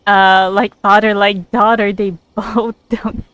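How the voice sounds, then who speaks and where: A woman's voice: mid-range voice, little pitch movement, slow pace, fairly bright tone, clear, resonant tone, no echo, lo-fi sound. A woman giving casual gameplay commentary as a streamer, with a giggly way of talking. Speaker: game streamer.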